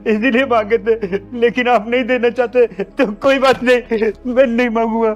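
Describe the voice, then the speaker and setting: A man's voice: very high voice, little pitch movement, moderate pace, lo-fi sound. A man acting a greedy, cowardly older father-in-law, apologetic and placating, in a melodramatic short film. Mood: wheedling, evasive. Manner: wheedling, apologetic.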